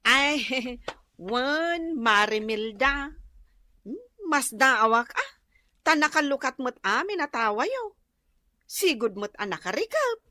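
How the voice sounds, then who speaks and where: A woman playing a lively, whining, gossipy character in an Ilocano radio drama. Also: high-pitched, slow pace, long pauses, dry recording, studio quality, exaggerated voice. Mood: sly.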